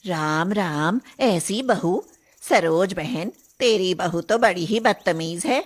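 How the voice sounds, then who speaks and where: A woman's voice: fairly low pitch, lively intonation, takes it slowly, pitched below the performer's own voice. A woman voicing a disapproving but consoling middle-aged village neighbour woman in a cartoon story. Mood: shocked, disapproving.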